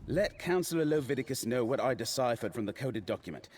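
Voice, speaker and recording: Genial mid-pitched scribe played by a man. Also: animated, fast, a little mellow, natural voice. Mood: friendly, thoughtful. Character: genial former adventurer turned male imperial scribe. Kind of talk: video game voice acting.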